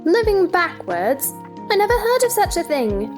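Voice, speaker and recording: A woman's voice: very high-pitched, very animated, normal speed, soft background music. A woman playing a polite, puzzled, sensible young girl in a theatrical children's storybook drama, earnest and questioning.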